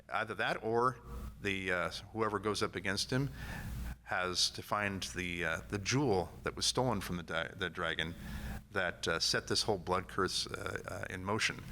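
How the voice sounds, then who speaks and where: A man giving an informal convention panel talk in a halting way, often repeating words. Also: lowish voice, pushed and bright. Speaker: panel speaker, audio drama company founder and actor. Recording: live panel with noticeable room noise.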